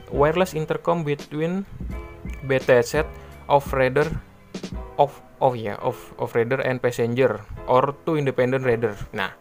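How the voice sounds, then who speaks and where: A man gives a casual, unscripted product explanation, rambling and repeating himself with informal filler tags. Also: mid-range voice. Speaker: product reviewer and online seller. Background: background music.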